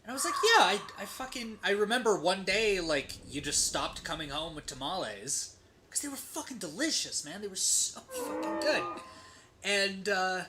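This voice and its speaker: A streamer telling a story casually and comedically while gaming, with profanity, dramatic pauses and rhetorical tags. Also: mid-range voice, big pitch swings, pushed and bright, slight background noise. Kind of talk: casual comedic storytelling during game play.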